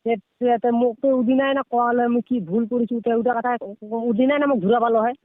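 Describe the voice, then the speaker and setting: Very high-voiced young North Bengal man, tinny phone. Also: fairly even intonation, fast, no echo. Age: teens to young adult. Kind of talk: casual, argumentative phone chat. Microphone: phone call. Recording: tinny phone-line sound, dry.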